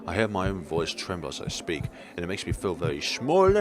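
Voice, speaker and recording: Male let's player, lowish voice, reading a visual novel theatrically with playful asides and stumbles, clear music underneath. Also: sing-song, fairly quick. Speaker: video game let's player. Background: game music.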